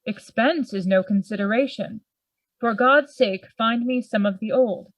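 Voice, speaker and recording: A woman playing a sober, rational Victorian gentleman in a Gothic radio play, speaking formally. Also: fairly high pitch, no room sound, rough recording. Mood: puzzled, measured. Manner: formal, measured, reasoning.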